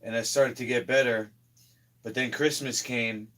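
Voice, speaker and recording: A hoarse man talking casually in a slow, rambling health vlog, often trailing off quietly. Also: mid-range voice, fairly even intonation. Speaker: health vlogger.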